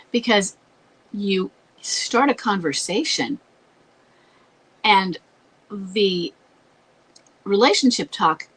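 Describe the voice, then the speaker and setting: A woman's voice: fairly low pitch, a bit bright, breathy edge. Congested, scratchy-voiced woman giving advice. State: congested, losing her voice. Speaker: advice show host. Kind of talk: advice talk with read-out letters.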